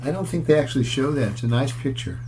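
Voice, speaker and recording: A man's voice: mid-range voice, expressive, darkish tone, slight background noise, poor audio. A man reading a children's picture book aloud in a storytelling style, with chatty asides to his listeners. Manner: chatty, pauses to comment on pictures and question listeners.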